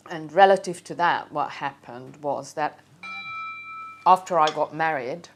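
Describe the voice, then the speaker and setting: A middle-aged woman with a Hungarian accent tells her own story casually and haltingly, thinking aloud as a documentary interviewee. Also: deep voice, slight background noise. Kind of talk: casual personal storytelling to camera.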